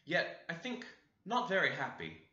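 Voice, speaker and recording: A courteous, curious gentleman from a classic novel played by a male reader. His voice is very bright and varied in pitch, with a questioning manner and a roomy echo. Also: huge pitch swings, unhurried, exaggerated voice. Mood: polite, interested. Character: inquisitive, polite gentleman tenant. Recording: roomy, echoey, slightly dull sound.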